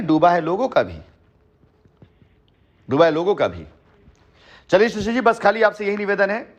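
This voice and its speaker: A man talking on a phone call in long, persuasive stretches, earnest and emphatic, often repeating himself. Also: high-pitched. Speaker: company head addressing a customer by phone. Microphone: telephone.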